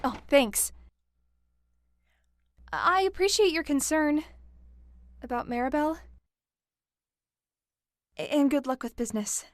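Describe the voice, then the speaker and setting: A woman's voice: sits high, animated, slow pace, long pauses, no room sound, clean studio sound. A woman playing a polite, courteous visitor in an audio drama, with a formal manner.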